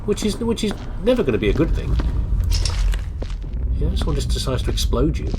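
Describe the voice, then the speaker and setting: A man's voice: fairly high pitch, very animated, a little fast, heavy background noise. A middle-aged man with a congested, bunged-up nose, chatting in a meandering, self-deprecating way while commenting on a game. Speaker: let's play commentator. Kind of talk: rambling casual gameplay commentary. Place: home office.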